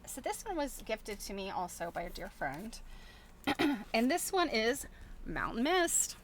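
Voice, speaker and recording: A woman's voice: fairly high pitch, very animated, unhurried, slight background noise. A middle-aged woman chatting casually as she shows things to viewers, thinking aloud in a rambling, chuckly way. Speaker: host of a quilting show. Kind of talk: casual show-and-tell chat.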